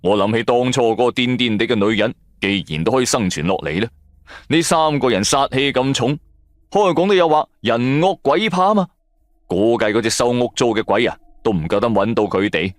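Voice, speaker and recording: A man's voice: mid-range voice, lively intonation, moderate pace, no room sound. A man narrating a first-person audio drama in Cantonese, in a dramatic scripted storytelling style.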